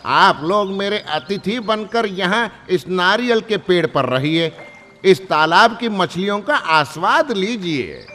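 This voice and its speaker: A man voicing a proud, foolish old heron in a children's animated story, with a theatrical, drawn-out delivery. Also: high voice, exaggerated voice. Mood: proud, welcoming. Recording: long reverb, music behind.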